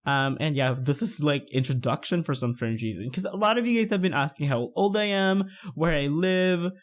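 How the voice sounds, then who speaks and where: Young man, twenties, from the Philippines, chatty, rambling, slightly high voice. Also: lively intonation, normal speed. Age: 20s. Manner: chatty, rambling, many fillers. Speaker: YouTuber narrating a build. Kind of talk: casual voice-over commentary.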